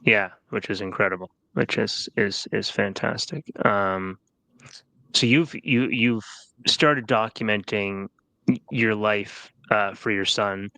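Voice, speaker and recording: Deep-voiced man hosting an interview. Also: fairly even intonation, creaky, pushed and bright, no room sound, low-quality recording. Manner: halting, with many fillers and restarts. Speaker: podcast host. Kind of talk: interview questions.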